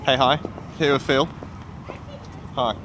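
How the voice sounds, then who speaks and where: A man's voice: medium pitch, fairly even intonation, takes it slowly, rough recording. A young man hosting a casual vlog, asking upbeat questions while filming himself on a phone as he walks around the city. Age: young adult. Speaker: vlog host. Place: outdoors on a city street and in a station. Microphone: phone held at arm's length.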